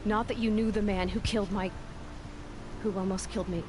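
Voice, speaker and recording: A woman's voice: mid-range voice, fairly even intonation, brisk, noisy background, close to an everyday voice. An actress playing a guarded, headstrong young woman hunter in a video game, talking in a blunt, dry, sarcastic way. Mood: guarded, frustrated.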